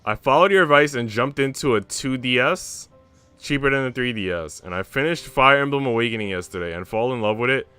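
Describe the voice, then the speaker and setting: A man's voice: fairly low pitch, bright, forceful tone, clean tone. A young man streaming a visual novel in a laid-back, slangy way, switching between casual chat and reading aloud. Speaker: game streamer. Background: music.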